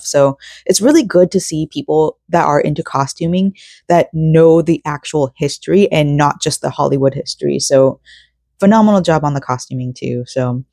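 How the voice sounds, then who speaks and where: A young woman vlogs from home while she crochets, tired and rambling, with lots of fillers and self-corrections. Her tone is mellow and soft, and the recording is close and dry with no room sound. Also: deep voice, dry recording. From South Korea, raised in Florida. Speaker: vlogger. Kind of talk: casual personal vlog chat while crocheting.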